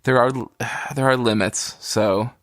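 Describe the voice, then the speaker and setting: A man's voice: mid-range voice, steady tone, slow pace, a bit bright, no room sound, clean studio sound. A man co-hosting a casual podcast, talking in a wry, conversational way. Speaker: podcast co-host.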